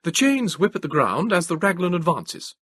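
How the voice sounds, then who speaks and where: A man voicing a brisk young British soldier who speaks in clipped tones. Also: high voice, sing-song, a bit bright, husky, dry recording, clean studio sound, voice pushed up, heavily acted.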